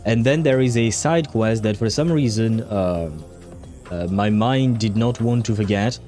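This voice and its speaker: Dark, low-voiced man chatting on a livestream. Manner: rambling, halting, thinking aloud. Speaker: livestream host. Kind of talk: casual livestream chat over a game. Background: faint music.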